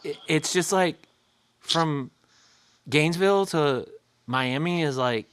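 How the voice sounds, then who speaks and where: A man's voice: a little high, takes it slowly, fairly bright tone, clear, resonant tone, dry recording. A man from Florida hosting a podcast, chatting casually and rambling, stumbling over his words. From Florida, United States. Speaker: podcast host. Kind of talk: casual interview chat.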